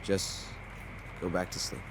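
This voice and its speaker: A man playing a calm, reassuring doctor with an elderly patient in an audio drama. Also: unhurried, close to an everyday voice. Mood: soothing, firm. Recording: loud ambient noise behind the voice.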